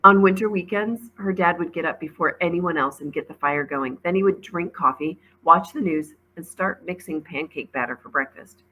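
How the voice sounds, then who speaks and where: Bright-voiced woman reading a story aloud. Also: on the low side, moderate pace, hardly any pauses, rough recording. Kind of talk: storybook read-aloud narration.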